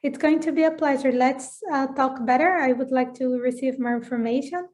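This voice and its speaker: A woman from Brazil with a Brazilian Portuguese accent, introducing her TV channel in an online business meeting and pausing often on fillers. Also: high-pitched, a little mellow. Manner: hesitant, many fillers, frequent laughs. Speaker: TV channel representative.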